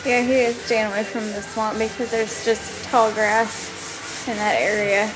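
A woman's voice: medium pitch, flat delivery, unhurried, poor audio. A woman filming from behind the camera and teasing the others in casual banter. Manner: teasing, wry commentary. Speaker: camera operator and co-host. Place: backyard and home garage.

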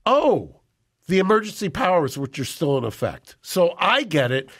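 An older man co-hosting a podcast in a studio, talking into a boom mic, indignant and full of rhetorical questions. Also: sing-song, moderate pace, slightly rough. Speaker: co-host. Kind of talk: casual podcast talk. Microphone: studio boom mic with headphones.

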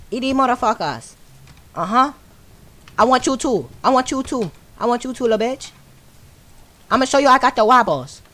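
A woman's voice: high-pitched, a bit bright. A woman playing an online role-play game, trash-talking in aggressive, repetitive slang. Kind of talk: taunting in-game trash talk.

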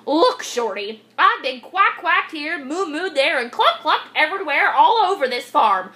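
A woman's voice: high voice, big pitch swings, unhurried, sharp tone, voice pushed up, heavily acted. A woman voicing a cranky, bossy storybook witch who screeches, cackles and hurls insults. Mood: bossy, impatient. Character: cranky, bossy witch hunting pigs, shrieking and threatening.

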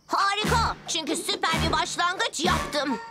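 A woman's voice: very high voice, unhurried. A woman voicing a big, boastful, eager boy superhero in a dubbed children's cartoon. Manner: bragging, overenthusiastic. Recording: music behind the voice.

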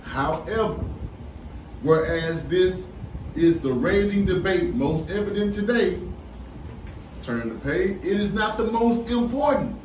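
Emphatic American man, high voice, roomy sound. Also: expressive, slow, darkish tone, far from the mic. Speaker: podcast co-host. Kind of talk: reading aloud from a book with commentary. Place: small podcast studio, indoors. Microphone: boom-arm studio microphone with headphones. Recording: echoey room, off-mic, faint noise.

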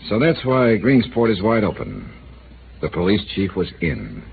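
A man's voice: lowish voice, wildly swooping pitch, measured pace, creaky, heavily acted. An actor playing a dry, persistent male insurance investigator in a 1950s radio drama, asking pointed questions with a wisecracking edge. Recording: tinny old radio broadcast with hiss.

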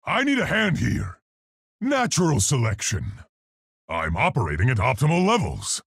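A man voicing a large, earnest, polite and brave gorilla scientist for a video game, gruff and articulate in his delivery. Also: mid-range voice, huge pitch swings, normal speed, a bit bright, dry recording, studio quality, full character voice. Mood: agitated, shouting. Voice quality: gruff, husky, gravelly. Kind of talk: video game character voice lines.

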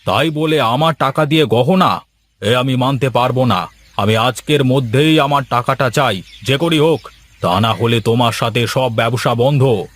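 A man's voice: medium pitch, normal speed, fairly bright tone, close to an everyday voice. Man playing a stern, demanding cartoon creditor. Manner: firm, demanding. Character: stern, unbending male creditor in fish trade. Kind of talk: cartoon dialogue acting.

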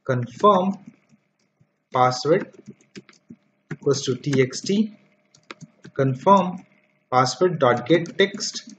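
A man's voice: a little high, animated, drawn-out words, long pauses, a bit bright. A man teaching programming over a screen recording, dictating code step by step with frequent fillers. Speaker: programming tutor. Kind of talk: screen-recorded coding tutorial. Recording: dull lo-fi mic with faint noise.

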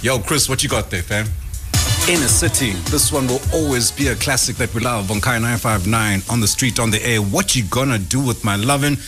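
A man's voice: medium pitch, brisk, music in the background. A man hosting a morning radio show from a studio kitchen, teasing and playful, speaking into a studio mic. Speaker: radio host. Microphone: studio mic with headphones.

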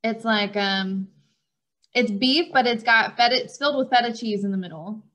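A woman's voice: on the high side, normal speed, fairly bright tone. A young woman with a light Texan accent, a guest on a podcast, chatting in a friendly, giggly way over a video call. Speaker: guest, fitness coach. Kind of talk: casual interview chat. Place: room at home by a window.

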